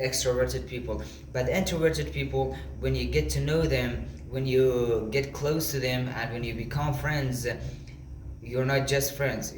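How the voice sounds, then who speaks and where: Male motivational vlogger, non-native English speaker, medium pitch, earnest and halting delivery, recorded in an echoey room. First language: not English (second language). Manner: halting, repetitive, earnest phrasing in second-language English. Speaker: motivational content creator. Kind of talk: informal motivational talk to camera. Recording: echoey room with faint background noise.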